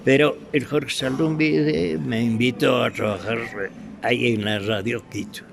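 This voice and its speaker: An older man with an Ecuadorian accent, a veteran radio actor reminiscing in an interview, haltingly searching for his words. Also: mid-range voice, fairly even intonation, gravelly.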